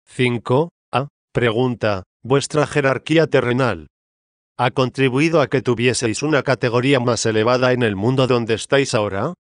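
Un hombre que lee con tono formal y pausado las preguntas de un libro espiritista. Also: male voice, mid-range voice, dry recording, clean studio sound. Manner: measured, formal. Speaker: audiobook narrator. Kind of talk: scripted reading of a spiritist book.

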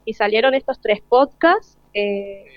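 A woman's voice: a little high, expressive, measured pace, no room sound. A woman with an Argentine accent, a teacher explaining things colloquially in a live radio interview. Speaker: school teacher. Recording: slightly dull, lo-fi live broadcast sound.

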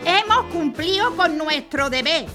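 A woman's voice: very high-pitched, expressive, measured pace, music in the background. A woman in an audio drama playing a defiant working-class protest leader, firm and declamatory, with a Spanish accent from Spain. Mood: rousing, resolute.

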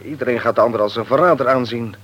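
A man's voice: mid-range voice, racing, lo-fi sound, natural voice. An actor playing a curt, self-assured male head of company detectives in a radio play, speaking in a blunt, official way. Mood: blunt, accusing. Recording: old radio play recording.

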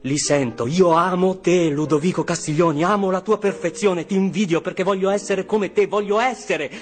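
A male actor plays an anxious, insecure boy in a radio drama monologue. The voice is high and intense, circling back on phrases like an incantation. Loud music runs behind it. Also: moderate pace, close to an everyday voice. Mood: adoring, obsessive. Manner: intense, repetitive, incantatory delivery. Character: anxious, insecure Iranian-Italian boy, self-pitying and obsessive. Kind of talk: theatrical radio monologue, rhythmic and repetitive.

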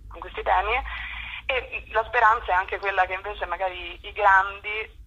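Muffled phone line, young woman, bright forceful voice with husky edge, informal explanatory interview. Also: mid-range voice, steady tone, normal speed. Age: young adult. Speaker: youth group spokesperson, interview guest. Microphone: phone call. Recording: muffled, rough telephone line.

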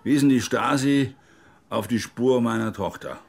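Gravelly, embittered older ex-officer. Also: male voice, mid-range voice, normal speed, a little mellow. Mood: bitter. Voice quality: gravelly, husky. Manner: cynical, coarse, grudging. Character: embittered, gruff older male former East German army officer. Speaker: interviewee recounting his past. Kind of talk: naturalistic radio drama monologue.

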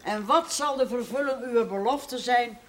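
Slow, high-voiced doom prophet. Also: male voice, fairly bright tone. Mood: solemn lament. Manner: declamatory, prophetic. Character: doom-laden Bible-quoting prophet, solemn and fervent. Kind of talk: theatrical television drama recitation. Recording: faint noise, older television soundtrack.

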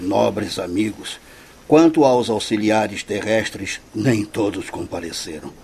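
An actor with a Brazilian accent playing a grave, benevolent president of a spirit gathering, formal and ceremonial, in a radio drama. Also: male voice, lowish voice, gravelly, a little mellow, a little hiss or hum.

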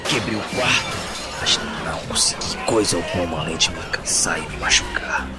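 A man with a Brazilian Portuguese accent playing an anguished captive in an audio drama, narrating his imprisonment in a brooding, deliberate monologue. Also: sits low, natural voice. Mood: agitated. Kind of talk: dramatic first-person monologue. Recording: music bed under the voice.

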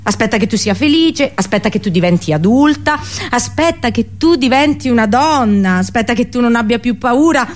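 A woman actor delivering a theatrical radio drama monologue, dramatic and halting, with deliberate pauses and repetitions. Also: a little high, expressive. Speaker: actress performing a monologue.

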